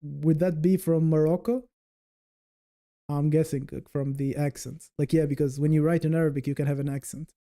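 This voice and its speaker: A man's voice: on the high side, fairly even intonation, normal speed, dark tone. A man hosting a casual livestream, rambling and thinking aloud as he chats with viewers. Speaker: livestream host.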